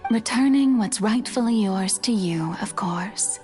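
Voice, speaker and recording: An actress playing a serene, playful, centuries-old goddess in a video game, speaking in a theatrical, gently teasing and formal way. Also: female voice, mid-range voice, unhurried, close to an everyday voice. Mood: gentle. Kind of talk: scripted video game acting. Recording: long reverberant hall, music underneath. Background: music.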